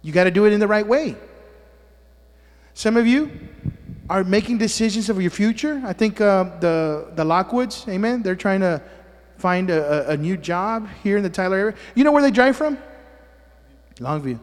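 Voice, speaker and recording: High-voiced joking pastor, native Spanish speaker. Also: male voice, expressive, normal speed, in a large hall. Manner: teasing, joking asides, frequent "amen?". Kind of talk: sermon. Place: church sanctuary.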